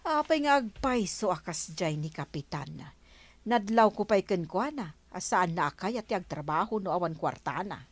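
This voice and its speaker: A woman's voice: lowish voice, expressive, moderate pace, no room sound. A woman playing a nosy, sceptical village gossip with an Ilocano accent in a Filipino radio comedy drama. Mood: gossipy.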